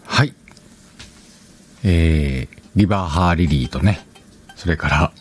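A man's voice: a bass voice, slow pace, slightly rough. A man hosting a solo podcast in a casual chat, playfully talking to himself and correcting himself as he goes. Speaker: podcast host. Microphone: external microphone, recorded on a computer.

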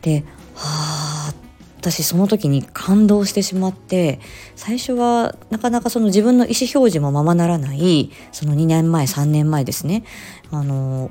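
A woman speech therapist telling stories in a casual, expressive solo chat, acting out short quotes as she explains. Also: low-pitched, normal speed, faint music behind. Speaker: speech-language therapist hosting an audio diary.

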